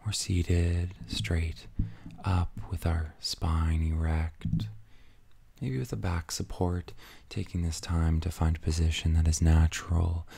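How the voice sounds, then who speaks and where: A man leading a guided meditation in a soft, soothing, breathy near-whisper, gently and with long pauses between phrases. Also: low-pitched, steady tone, dry recording.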